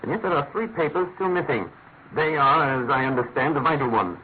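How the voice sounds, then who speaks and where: An actor playing a brisk, authoritative British private detective with a clipped, questioning manner in a classic radio drama. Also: male voice, a little high, natural voice. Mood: incisive questioning. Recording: noisy, narrow-band old radio recording.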